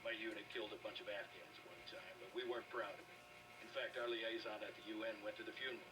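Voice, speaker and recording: A stern older army quartermaster in a video game, played by a man, with a low, brisk voice. He is principled and plain-spoken, and music plays behind him. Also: steady tone, distant mic, close to an everyday voice, rough recording. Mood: stern, reproachful.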